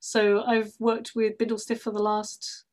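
Interview guest: female, middle-aged, London English accent, slightly high voice, informal storytelling delivery. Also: completely flat delivery, a little slow, a little mellow. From London, England. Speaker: interview guest, actress and theatre workshop director. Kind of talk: casual conversational storytelling.

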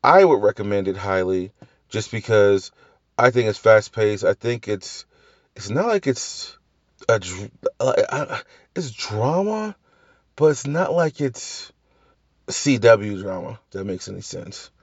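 A man's voice: fairly low pitch, very animated, measured pace, dry recording, highs cut off. A man hosting a casual solo show about TV series, rambling through tangents with restarts and the odd swear, with a slight cough left over from the flu. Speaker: podcast host reviewing TV shows. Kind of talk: casual solo review chat.

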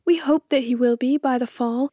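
A gentle, genteel mother, played by a woman narrator in a storybook. Her voice is high and mellow, earnest and fond, recorded dry. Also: moderate pace, no room sound. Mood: hopeful.

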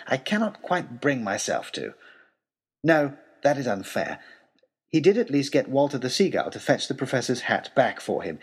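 An earnest, wordy tutor turned adventurer in an audio drama. His voice is husky and swings widely in pitch as he tells his tale with formal eloquence and wry self-mockery. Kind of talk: dramatic reading of a letter, storytelling.

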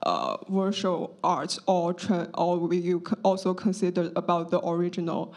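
Hesitant, low-voiced woman in the audience. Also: lively intonation, normal speed, darkish tone. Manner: hesitant, searching for words, many fillers. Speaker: audience member asking a question. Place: audience seating in a hall.

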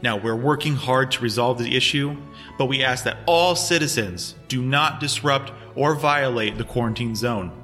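A stern male politician played by an actor in an audio drama, with a bright, forceful voice at a middle pitch. He speaks firmly, like an official under pressure who would rather not explain himself. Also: moderate pace, soft background music, lightly acted. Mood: guarded, official. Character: embattled male governor, stern, evasive, foul-mouthed in private.

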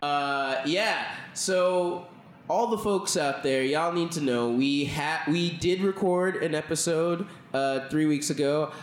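Unhurried American man in an echoey room. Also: on the high side. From Texas, United States. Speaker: podcast host. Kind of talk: casual news-talk podcast. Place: indoor studio under renovation. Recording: echoey room, faint background noise.

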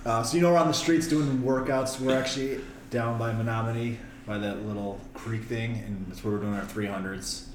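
A man's voice: mid-range voice, steady tone, brisk, few pauses. A middle-aged man, a coach, telling an upbeat story in casual chat. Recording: echoey room with clear noise.

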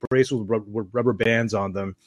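A middle-aged man from Canada, an author on public speaking, answering interview questions in a measured, explanatory way. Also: steady tone, no echo. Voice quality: deep voice. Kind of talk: interview guest.

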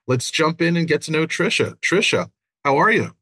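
Man, podcast host, husky voice, friendly, repeats words as he asks. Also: on the high side, expressive, normal speed, pushed and bright. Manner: friendly, stumbles and repeats words when asking questions. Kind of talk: podcast interview.

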